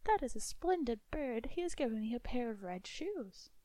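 A woman's voice: on the high side, very animated, moderate pace, warm tone, no room sound. A woman voicing a joyful, sweet young girl in a storybook reading.

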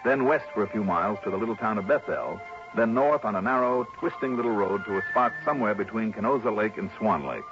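A man's voice: fairly low pitch, expressive, quick pace. An American actor playing a wry, breezy male insurance investigator in old radio drama style. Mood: measured, wry. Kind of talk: 1950s-60s American radio drama acting and narration. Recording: dull old radio recording with music.

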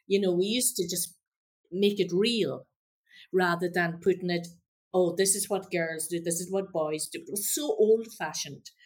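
A woman, a former teacher, talking earnestly and passionately as a podcast guest. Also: fairly low pitch. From Ireland. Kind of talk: casual conversation.

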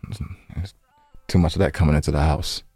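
A man's voice: very deep voice, steady tone, fast, warm tone, slightly rough, dry recording, studio quality, faint music behind. A man co-hosting a podcast in casual banter, teasing and repeating phrases for emphasis. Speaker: podcast co-host. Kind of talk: casual bantering chat with wife.

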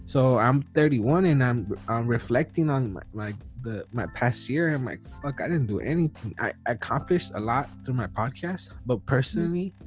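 A man's voice: mid-range voice, animated, normal speed, hardly any pauses, faint music behind. A man in his early thirties hosting a podcast, talking casually and rambling with lots of fillers and restarts. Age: 30s. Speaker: podcast host. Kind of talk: casual chat.

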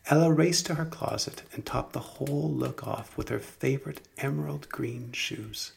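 Male storybook reader with a markedly gravelly, creaky voice, deliberate and expressive. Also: mid-range voice. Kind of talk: scripted children's story narration.

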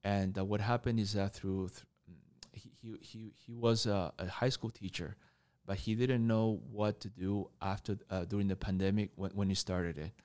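A man from Taiwan, Argentina and Canada speaking conversationally as an interview guest, halting and repeating words as he goes. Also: on the low side, fairly even intonation, a little mellow. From born in Taiwan, grew up in Argentina and Canada. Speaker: mentor and coach, podcast guest.

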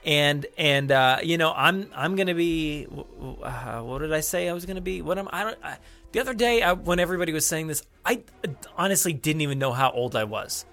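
A man in his thirties hosting a podcast, talking in an excited, rambling way with lots of fillers and restarts. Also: on the high side, fairly quick, soft background music. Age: 30s. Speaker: podcast host. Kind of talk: casual podcast chat.

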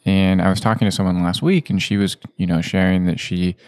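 A man's voice: low-pitched, fairly even intonation, brisk, a little mellow, no echo, studio quality. A man hosting a podcast, talking in a reflective, easygoing way with restarts as he thinks aloud. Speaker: podcast host. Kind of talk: casual conversation.

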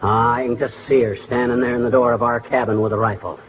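An actor playing a blustery, glib middle-aged husband in a 1940s radio comedy, folksy and self-important. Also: male voice, mid-range voice, steady tone, fast talker, close to an everyday voice. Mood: sentimental, folksy. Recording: tinny, noisy 1940s radio broadcast.

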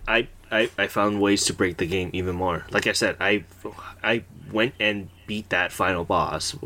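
A man's voice: low-pitched, sharp tone, slight background noise. A man co-hosting a podcast in casual chat, talking eagerly with frequent stammered restarts. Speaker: podcast co-host.

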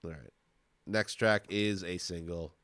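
A man chatting casually and enthusiastically as co-host of a music podcast, informal and quick to swear. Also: low-pitched, steady tone, a bit bright, clean tone, no room sound. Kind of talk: casual chat. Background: pop-rock songs playing.

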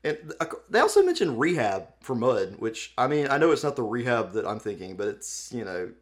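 A man's voice: medium pitch, huge pitch swings, fairly quick. A man co-hosting a podcast in casual, comedic fan chat, joking in a wry, offhand way. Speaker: podcast co-host.

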